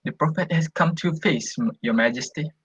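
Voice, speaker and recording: A man's voice: on the high side, fairly quick, husky, dry recording. A young man playing a deferential royal counsellor of ancient Greece in an amateur radio drama. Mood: respectful. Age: young adult. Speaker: student actor. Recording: dry, dull lo-fi recording.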